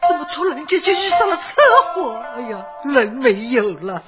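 A woman's voice: high-pitched, big pitch swings, moderate pace. An actress in a radio drama playing a grief-stricken elderly grandmother, tearful and pleading. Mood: grieving. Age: older. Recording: dull lo-fi, music behind.

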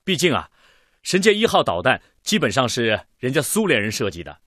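An actor playing a practical, confident engineer in a radio drama, presenting a design in an orderly, persuasive way. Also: male voice, fairly high pitch, fairly bright tone, husky, dry recording, clean studio sound, full character voice.

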